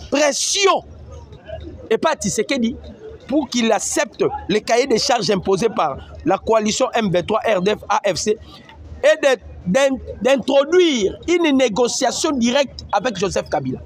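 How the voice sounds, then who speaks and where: Animated Congolese man, high voice. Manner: emphatic, repeats phrases, mixes French and Lingala. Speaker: political news commentator. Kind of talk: animated political commentary.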